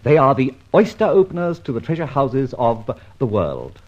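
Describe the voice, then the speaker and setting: An actor playing a breezy gentleman adventurer, jaunty and flowery in the manner of old-time radio drama. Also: mid-range voice, very animated, moderate pace. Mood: suave. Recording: dull old radio recording, faint noise.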